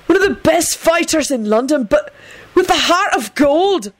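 A woman's voice: high voice, animated, a little slow, dry recording, pitched above the performer's own voice. A woman voicing an eager, ingratiating teenage boy who talks in a gushing, melodramatic way, in an audiobook story. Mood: frantic flattery.

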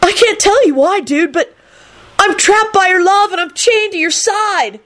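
Woman doing a high, gushing lovesick dude. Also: a little slow, a bit bright. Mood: adoring. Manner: gushing, overwrought. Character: lovesick, excitable young male slacker saying dude.

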